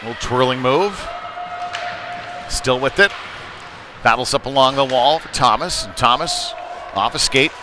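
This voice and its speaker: Male hockey play-by-play commentator, conversational and folksy, recorded amid clear arena noise. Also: medium pitch, animated, unhurried, pauses often, crowd noise. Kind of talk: live youth hockey game commentary. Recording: noisy rink ambience behind voice.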